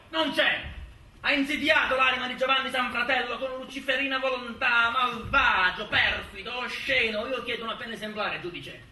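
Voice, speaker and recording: An actor playing a pompous, scornful prosecutor, declaiming with sneering emphasis in theatrical courtroom oratory. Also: male voice, very high-pitched, sharp tone, heavily acted. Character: venomous moralizing male prosecutor, pompous and scornful. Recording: roomy, distant stage recording, faint noise.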